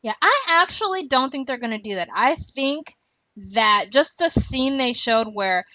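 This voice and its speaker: A woman chats casually as a podcast host, quick and animated, with frequent laughs. Her voice sits a little high. The recording is dull and lo-fi, with no room sound at all. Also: dry recording. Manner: chatty, laughs often, animated asides. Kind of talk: casual chat about a TV show. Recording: dull lo-fi, dry.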